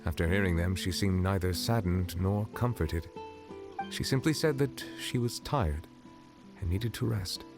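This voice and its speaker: An actor playing an ancient dragon sovereign in human form, speaking in a formal, measured, solemn way as he explains a verdict. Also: low voice. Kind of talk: game character dialogue. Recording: game audio with music behind. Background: music.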